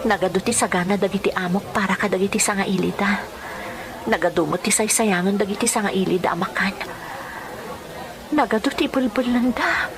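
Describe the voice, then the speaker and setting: A woman's voice: mid-range voice, moderate pace, long pauses, natural voice. A woman playing a poor, long-suffering wife and domestic helper in an emotional radio drama, speaking plaintively with an Ilocano accent. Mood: weary complaint. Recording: music playing behind the voice.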